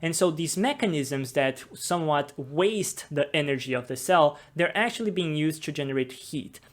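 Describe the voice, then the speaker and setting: A young man from Brazil, a podcast host, patiently explaining science in plain steps before an interview. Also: on the high side. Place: office room with a table. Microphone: desk mic on a boom arm.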